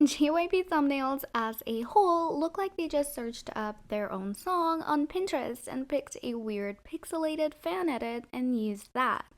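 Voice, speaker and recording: Hoarse high-voiced woman with sarcastic jabs. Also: lively intonation, measured pace, hardly any pauses. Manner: sarcastic, opinionated, playful jabs. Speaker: YouTuber reviewing music video thumbnails. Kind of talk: scripted commentary voice-over.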